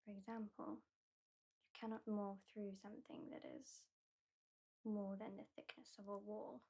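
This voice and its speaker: A woman with a British accent playing an aloof, sardonic vampire hypnotist in a soft, whispery, deliberate ASMR roleplay. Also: medium pitch, level tone, slightly rough. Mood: dry, matter-of-fact. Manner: slow, deliberate, lightly dismissive.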